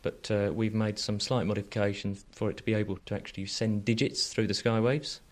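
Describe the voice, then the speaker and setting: A man speaking as an engineer in a radio interview, explaining technical work in an informal, slightly halting conversational way. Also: on the low side, flat delivery. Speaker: transmitting station engineer being interviewed.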